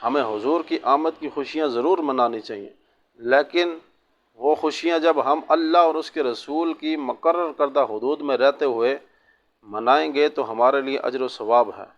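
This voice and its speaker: Male cleric delivering religious rulings, medium pitch, instructive and measured, on a dull lo-fi recording. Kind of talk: religious sermon answering a question on Islamic rulings.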